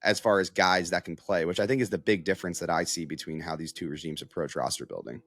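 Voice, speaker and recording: Low-voiced male sports analyst, dry sound. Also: quick pace, a bit bright, no room sound. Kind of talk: casual analytical sports talk.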